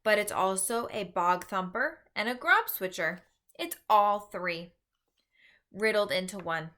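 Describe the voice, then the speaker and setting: A woman voicing an earnest, easily exasperated gentle male giant in a children's storybook, speaking emphatically in folksy broken grammar with invented words. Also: medium pitch, a little slow. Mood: exasperated, alarmed. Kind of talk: read-aloud children's storybook.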